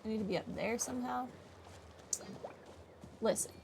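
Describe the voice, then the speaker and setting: A woman's voice: on the high side, moderate pace, gravelly, background noise. A woman giving casual gameplay commentary, talking to herself and to the characters on screen. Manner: talks to herself and to game characters. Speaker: gaming streamer.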